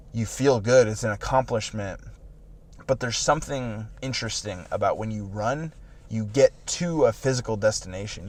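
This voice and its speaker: A man in his late twenties rambling in casual podcast chat, full of "like" and restarts. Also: fairly low pitch. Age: late 20s. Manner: rambling, filler-heavy with "like" and restarts. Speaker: podcast co-host.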